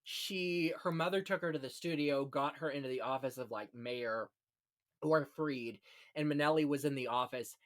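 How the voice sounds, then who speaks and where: A man hosting a film podcast, talking in an animated, emphatic and chatty way. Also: medium pitch, normal speed. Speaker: podcast host. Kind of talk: theatrical scripted intro, then casual film chat.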